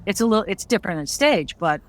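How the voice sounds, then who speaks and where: Woman hosting a relaxed, conversational interview. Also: mid-range voice, quick pace. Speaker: interview host; audio drama writer and creator.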